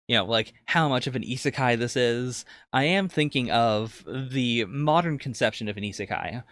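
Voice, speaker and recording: A man's voice: medium pitch, fairly bright tone, dry recording. Guy on a podcast talking through a review, kinda hesitant and rambly, very dry sound. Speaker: podcast co-host. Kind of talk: casual review discussion.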